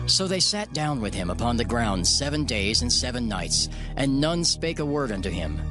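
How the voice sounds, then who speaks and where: A man narrating scripture in a solemn, dramatized storytelling style. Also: medium pitch. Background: music.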